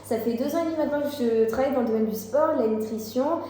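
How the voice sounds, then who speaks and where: A twenty-two-year-old woman tells her story openly, a little high with a warm, mellow tone and sprinkling in small fillers. The room rings with heavy echo, with faint noise underneath. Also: fast talker. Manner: candid, frequent little fillers. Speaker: vlog guest. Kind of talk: casual personal story.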